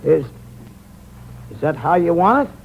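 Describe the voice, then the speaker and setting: An actor playing a sly, needling ship's purser turned blackmailer in a 1950s radio drama. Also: male voice, fairly high pitch, very animated, unhurried, gravelly, heavily acted. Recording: muffled old radio broadcast with loud hiss.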